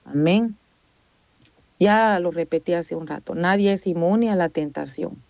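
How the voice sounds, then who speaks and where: A woman's voice: lowish voice, unhurried, gravelly, darkish tone, no room sound, highs cut off. A woman preaching a sermon in an earnest, conversational way, often adding "amén" and "¿verdad?". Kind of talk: Spanish-language sermon.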